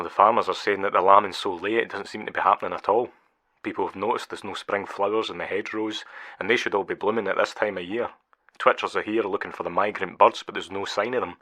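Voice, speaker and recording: A Scottish islander interviewed for the news in a radio play. His voice is fairly low with a tense, forceful edge. He speaks plainly and earnestly, clearly worried. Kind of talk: naturalistic audio drama acting.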